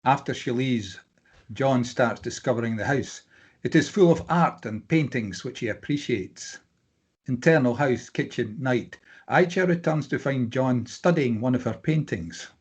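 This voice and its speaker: Male narrator, husky voice, reads script directions for a drama table read. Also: medium pitch, expressive, a little slow. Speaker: stage directions reader.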